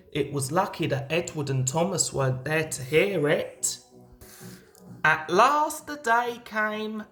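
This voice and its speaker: A woman narrating a scripted children's story as an expressive read-aloud. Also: medium pitch. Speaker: storybook reader.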